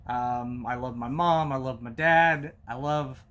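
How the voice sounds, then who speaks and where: A man in his thirties talking casually and from the heart to his channel's viewers, with a halting delivery. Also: mid-range voice, a bit bright, clean tone. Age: 30s. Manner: halting, many fillers and restarts, heartfelt. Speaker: movie collector YouTuber. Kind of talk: casual personal vlog talk.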